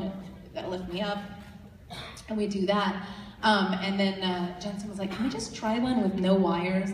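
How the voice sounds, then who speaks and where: A woman with a low voice answering fan questions at a convention panel, rambling with lots of fillers and restarts. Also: background noise. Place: large convention hall. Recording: echoey hall, distant mic.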